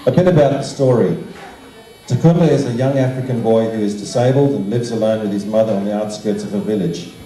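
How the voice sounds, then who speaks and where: An older man giving a measured, formal prepared speech to an evening gathering. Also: lowish voice, mellow, slight background noise. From Zimbabwe. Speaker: guest speaker, art teacher and children's author. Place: large indoor function room. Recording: roomy, echoing hall sound, distant microphone.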